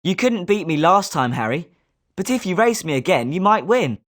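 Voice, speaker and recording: A confident British schoolboy wizard as acted by a woman, a little low in pitch. The melody is very lively, suited to a sporting, competitive character in a video game. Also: moderate pace. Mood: encouraging.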